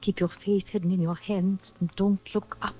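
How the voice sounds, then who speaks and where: A woman's voice: medium pitch, level tone, moderate pace, close to an everyday voice. A woman playing a protective young woman sheltering a hunted man in a 1940s radio drama, controlled and urgent. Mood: hushed, urgent. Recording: muffled vintage radio with music bed.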